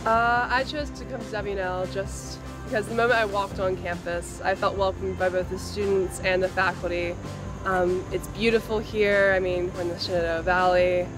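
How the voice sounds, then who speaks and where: A woman's voice: mid-range voice, fairly even intonation, moderate pace, low-quality recording. A young woman from North Carolina, a college student, giving a casual testimonial. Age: young adult. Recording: music bed behind the voice.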